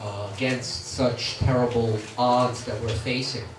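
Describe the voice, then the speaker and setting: A man's voice: medium pitch, fairly even intonation, unhurried, a crowd in the background. A man from Brooklyn with a New York accent, giving a plainspoken speech as guest speaker at a meeting and reading scripture aloud. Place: meeting hall. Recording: echoey hall from far off. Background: audience murmur.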